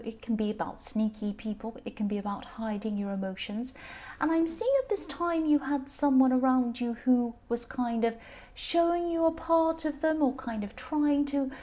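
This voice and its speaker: A slightly tired woman with a faintly croaky voice gives an informal tarot reading, explaining hesitantly and circling back to repeat her phrases. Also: sits high, a little mellow. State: a bit tired. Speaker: tarot reader, channel host.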